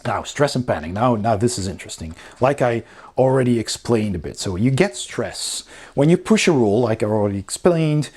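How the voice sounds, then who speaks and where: A man's voice: medium pitch, darkish tone. A man giving a casual spoken review, explaining things informally as he walks through a rulebook. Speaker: board game reviewer.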